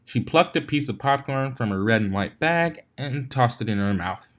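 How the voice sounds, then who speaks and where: A man's voice: mid-range voice, expressive, moderate pace, a bit bright. A man narrating a story expressively, occasionally stumbling over a word and correcting himself. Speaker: fan fiction reader. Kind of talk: narrated story reading with character voices.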